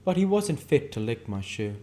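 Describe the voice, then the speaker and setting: A man puts on a defiant married woman in an audiobook, lifted well above his own pitch. She is bitter and scornful, leaning on her words with indignation. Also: very animated, moderate pace, warm tone, lightly acted. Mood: disdainful. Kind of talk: audiobook character dialogue.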